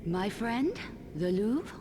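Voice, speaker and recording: A woman's voice: mid-range voice, sing-song, slow pace, in a large hall, noisy background. An actress voicing a determined young woman adventurer in a video game, cool, clipped and businesslike. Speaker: character in a video game cutscene.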